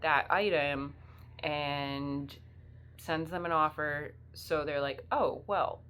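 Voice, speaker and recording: A woman's voice: low-pitched, fairly even intonation, slow, a bit bright, a little hiss or hum. A woman with the flu, sniffly, chatting casually and rambling as a part-time reseller in her vlog. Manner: chatty, rambling, many fillers.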